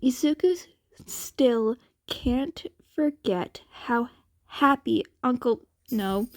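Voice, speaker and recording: A woman reading story narration aloud in a halting, deliberate way. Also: on the high side, darkish tone, dry recording. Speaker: podfic narrator reading fan fiction.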